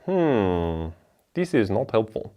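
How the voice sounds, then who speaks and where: Lively low-voiced man explaining a board game. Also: slow pace. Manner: chatty, talks through each move aloud. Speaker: board game show host. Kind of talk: solo board game playthrough, explaining rules.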